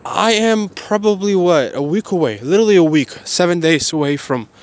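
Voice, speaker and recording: A man of twenty-one giving a motivational personal monologue in a halting, repetitive, emphatic way. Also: high voice, lively intonation.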